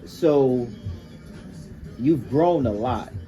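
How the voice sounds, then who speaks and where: A man's voice: mid-range voice, animated, very slow, dark tone, rough recording. A man hosting a casual interview, laid-back and affirming, with slangy short interjections. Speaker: interview show host. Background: music.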